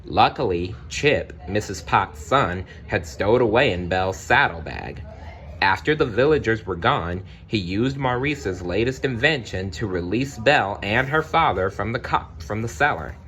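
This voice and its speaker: A man reading a storybook aloud as a narrator, in a dramatic, deliberate way with pauses. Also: fairly low pitch, lively intonation, fairly bright tone, a little hiss or hum. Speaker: storybook reader.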